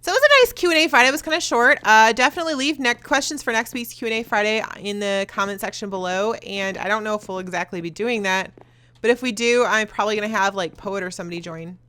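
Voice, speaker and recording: A young woman hosting a video, talking loud and fast in casual chat as she answers viewer questions while playing a game. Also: medium pitch. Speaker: YouTuber host.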